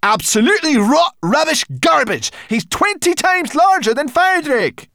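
A man voicing a small, anxious brownie girl who scolds in a squeaky, indignant way. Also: sing-song, moderate pace, dry recording, clean studio sound. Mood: angry.

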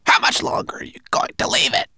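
A man voicing a sly male raven who croaks in a hushed, high, breathy whisper. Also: fast talker, dry recording, studio quality. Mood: pressing.

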